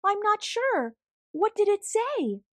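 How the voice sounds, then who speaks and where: A woman's voice: very high-pitched, very animated, slow, a bit bright, dry recording, studio quality, voice pushed up, exaggerated voice. A woman voicing an earnest storybook hedgehog, uncertain, curious and insistent. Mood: puzzled.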